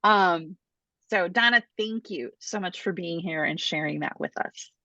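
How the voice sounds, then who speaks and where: A woman's voice: lowish voice, measured pace, sharp tone, dry recording. A woman hosting a casual online Q&A class, talking informally over a video call. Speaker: host of a book publishing Q&A class. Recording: dull lo-fi video call audio.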